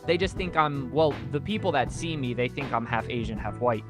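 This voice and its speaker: Man, from Puerto Rico, native Spanish speaker, rambling storyteller, mid pitch, music in the background. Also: animated, fairly quick. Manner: rambling, self-interrupting storyteller. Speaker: podcast guest, video creator. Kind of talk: casual group chat.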